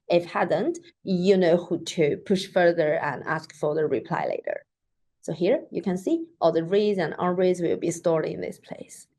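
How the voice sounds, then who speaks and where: A woman with a lowish voice, a native Mandarin speaker, demonstrates software features over a screen share. She talks in a loose, chatty way, leaning on fillers as she clicks through each step. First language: Mandarin Chinese. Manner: chatty, with frequent "like" and "so that is to say". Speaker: software demo presenter. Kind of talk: casual screen-share tutorial. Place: at a computer, recording her screen.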